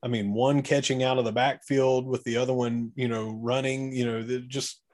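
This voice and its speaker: A man's voice: mid-range voice, little pitch movement, normal speed, darkish tone. A man co-hosting a sports podcast, thinking aloud about football in a casual, speculative, conversational way. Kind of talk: casual football analysis chat.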